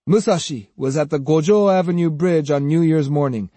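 A man's voice: on the high side, moderate pace, mellow, no echo, pitched above the performer's own voice, natural voice. A man voicing an arrogant, boastful young swordsman with a pompous, sneering and emphatic delivery in a storybook reading. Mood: snide, warning. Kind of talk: dramatised audiobook storytelling.

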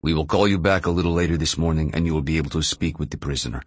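A man's voice: deep voice, big pitch swings, moderate pace, breathy edge, no echo, exaggerated voice. A man voicing a weary, conciliatory Russian president negotiating in an audiobook thriller.

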